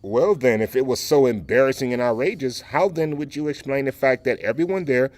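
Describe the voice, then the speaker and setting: An actor playing a dignified, proud Southern educator and orator in an audio play, speaking in a formal, measured, courteous way. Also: male voice, mid-range voice, animated, darkish tone, no room sound, close to an everyday voice. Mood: stung, measured. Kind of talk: dramatic audio play dialogue.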